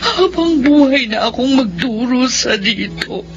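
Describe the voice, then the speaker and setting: High-voiced, tearful, despairing woman prisoner. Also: lively intonation, unhurried. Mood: remorseful, grieving. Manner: anguished, tearful, melodramatic. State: crying. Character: remorseful, despairing female prisoner serving life. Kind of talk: Filipino radio drama monologue. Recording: dull lo-fi radio, loud music behind.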